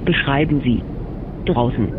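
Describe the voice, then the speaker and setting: Actor as probing male interrogator, phone-like sound, clear background noise, clipped, impersonal, radio drama. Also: mid-range voice, expressive, unhurried, natural voice. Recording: tinny phone-like band with noise.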